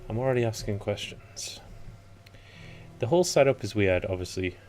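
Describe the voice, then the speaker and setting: Croaky man thinking aloud over gameplay. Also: lowish voice, lively intonation, moderate pace, a little mellow, faint music behind. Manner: rambling with fillers, thinking aloud. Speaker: let's play commentator. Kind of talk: casual gameplay commentary.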